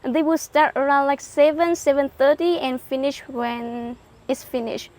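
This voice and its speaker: Young woman, high voice, simple english, keeps saying yes. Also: steady tone, measured pace, a little mellow. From Vietnam. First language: Hmong. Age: young adult. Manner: simple learner English, frequent affirming yeses. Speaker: interview guest. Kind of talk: casual interview chat.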